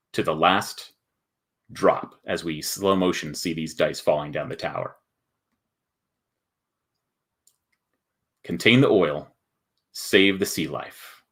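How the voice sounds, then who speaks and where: Low-voiced male promo narrator, read by a man acting it out, suspenseful, deliberate, cinematic board game trailer. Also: a bit bright, slightly rough, full character voice. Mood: building, climactic. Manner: suspenseful, deliberate, drawn-out phrasing. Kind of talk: dramatic scripted promo narration.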